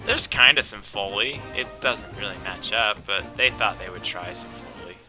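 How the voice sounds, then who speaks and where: A man's voice: on the low side, moderate pace, music in the background. A man casually commentating on a game, rambling, sarcastic and profane.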